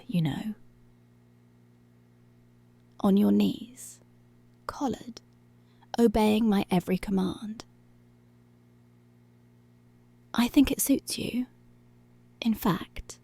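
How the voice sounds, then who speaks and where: A woman's voice: mid-range voice, warm tone, dry recording, full character voice. A woman playing a dominant, teasing policewoman in an intimate, half-whispered ASMR roleplay. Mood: coaxing, teasing. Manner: slow, breathy half-whispered, possessive teasing.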